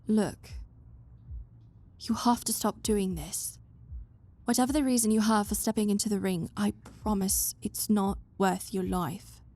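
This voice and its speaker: A woman's voice: medium pitch, steady tone, measured pace, darkish tone. A woman voicing a stern, bossy but caring young woman who scolds someone she cares about. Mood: gentle. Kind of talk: fan audio roleplay.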